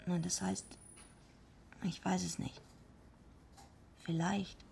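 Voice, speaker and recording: A woman acting in a radio play as a tough, bitter, streetwise heavyweight wrestler, gruff, blunt and slangy. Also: fairly low pitch, little pitch movement, takes it slowly, darkish tone, exaggerated voice. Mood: wistful, hurt.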